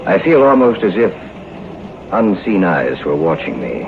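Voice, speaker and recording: An actor playing a solemn, haunted storyteller, grave and measured in scripted 1950s radio horror, on a muffled noisy recording. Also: male voice, sits low, big pitch swings, creaky, heavily acted. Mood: uneasy dread. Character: solemn, haunted male storyteller mourning his best friends.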